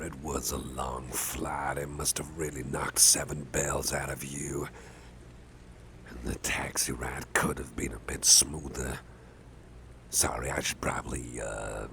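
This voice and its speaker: Man performing as affable boss, extremely low voice, slow unhurried delivery, gentle and reassuring, soft ASMR roleplay, faint background noise. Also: exaggerated voice. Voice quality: deep, gravelly, breathy at times. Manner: gentle, chatty, hesitant, reassuring. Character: caring, affable male boss with a deep voice. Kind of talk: ASMR roleplay, soft close personal talk.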